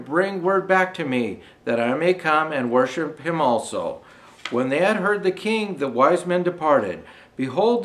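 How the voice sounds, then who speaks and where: An older man in a Santa role reading a children's storybook aloud, deliberately and with expressive rises on his phrases. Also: fairly high pitch, poor audio. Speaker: storyteller dressed as Santa Claus.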